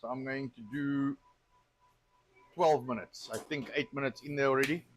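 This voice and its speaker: A man's voice: medium pitch, steady tone, normal speed, slight background noise. A South African man hosting a cooking stream, chatting casually and joking with filler words while he cooks. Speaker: cooking show host.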